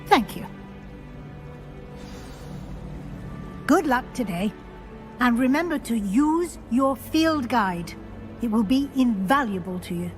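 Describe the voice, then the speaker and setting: An actress playing a brisk, exacting witch professor in a video game, with a British accent and a crisp, formal schoolmistress manner. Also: on the high side, animated, a little mellow, soft background music. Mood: brisk, mildly exasperated. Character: brisk, exacting female witch professor guiding a new student. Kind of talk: video game character dialogue.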